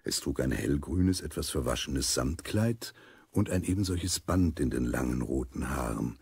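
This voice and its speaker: A man narrating a children's audio drama as an amused storyteller, with a gravelly, husky voice. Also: rumbling low, lively intonation, moderate pace, a little mellow, no echo, heavily acted. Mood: amused storytelling. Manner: storyteller addressing young listeners.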